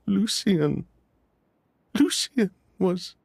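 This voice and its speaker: A man voicing an anxious, defensive witness in a video game, halting and flustered as he speaks. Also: high-pitched, very animated, creaky, darkish tone, husky, dry recording, full character voice. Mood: grief-stricken. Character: anxious, defensive, grieving man under questioning. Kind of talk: voiced video game story dialogue.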